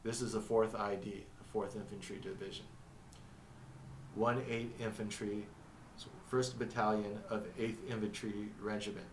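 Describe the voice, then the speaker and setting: A man with a low, even voice and little lift in his pitch talks through a reflective monologue. He halts and restarts as he reads from notes, and his first language is Arabic. He sits back from the mic in a roomy, echoing space with a faint hum behind him. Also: far from the mic. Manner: halting, thinking aloud with restarts. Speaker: vlogger narrating his own story. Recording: echoey room, mic set back.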